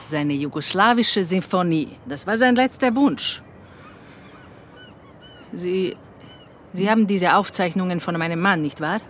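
An actress playing a proud, sharp-tongued Serbian wife in a radio drama, scornful and cutting. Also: female voice, on the low side, measured pace, pauses often, a little hiss or hum, close to an everyday voice. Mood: scornful, defiant.

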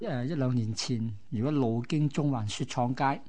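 A man's voice: mid-range voice, normal speed, warm tone. A man with a Hong Kong accent, a co-host telling stories conversationally in short, colloquial explanatory phrases. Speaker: radio co-host. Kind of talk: conversational storytelling.

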